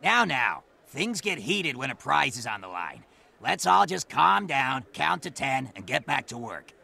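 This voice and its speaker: Officious creature bureaucrat, very gravelly, forceful, patronising and commanding, game cutscene. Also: male voice, medium pitch, very animated, a little slow, full character voice. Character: officious, dismissive male asura council official, small goblin-like. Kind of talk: video game cutscene acting.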